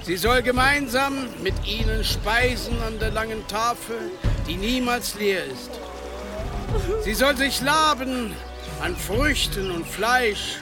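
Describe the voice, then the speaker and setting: An actor playing a solemn village elder giving a measured, ceremonial funeral speech in a radio drama. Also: male voice, very high-pitched, music in the background, exaggerated voice. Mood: solemn, mourning. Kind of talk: German radio drama oratory.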